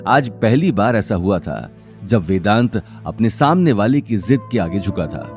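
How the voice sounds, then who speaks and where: A man's voice: on the low side, lively intonation, measured pace, darkish tone, soft background music. A man narrating an audio drama series as a scripted, dramatic story.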